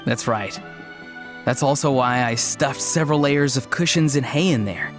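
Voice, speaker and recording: An actor playing an earnest, odd young tribesman in a video game, deadpan and matter-of-fact. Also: medium pitch, normal speed, music in the background, close to an everyday voice.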